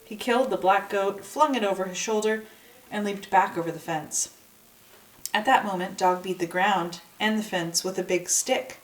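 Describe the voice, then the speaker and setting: A woman reads a children's story aloud with expression, in a medium-pitched voice. The room is noticeably echoey and she sounds a little way from the mic. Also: normal speed. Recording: echoey room, distant microphone.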